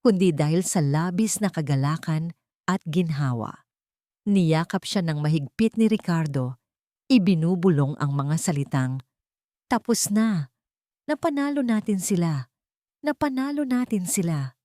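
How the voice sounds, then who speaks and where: A woman narrating a scripted romantic drama audiobook in a measured, dramatic storytelling manner. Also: low-pitched, a little mellow, no echo, clean studio sound.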